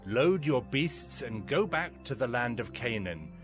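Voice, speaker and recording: A commanding Egyptian king, slow and regal, with wide swings in pitch. He declaims generously in a scripture drama over quiet music. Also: very animated. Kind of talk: dramatized scripture audio acting. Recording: music behind the voice.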